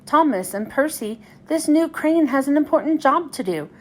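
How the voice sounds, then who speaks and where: A woman's voice: high voice, expressive, normal speed, a little hiss or hum, pitched above the performer's own voice. A woman voicing a stern, formal male railway boss who scolds his engines in a children's storybook.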